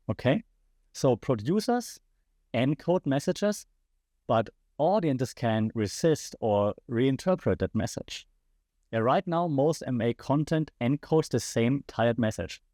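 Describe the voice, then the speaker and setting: A man's voice: mid-range voice, dark tone, no room sound. German guy ranting on his podcast, fired up, asks the listener stuff, voice a bit worn, dead dry sound. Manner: passionate, rhetorical questions to the audience. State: slightly losing his voice. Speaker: podcast host. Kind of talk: opinionated solo commentary.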